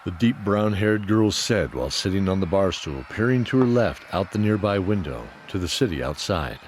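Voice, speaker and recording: A man narrating a scripted fantasy audio drama in a measured, descriptive storytelling manner. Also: low voice, lively intonation, slight background noise. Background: music.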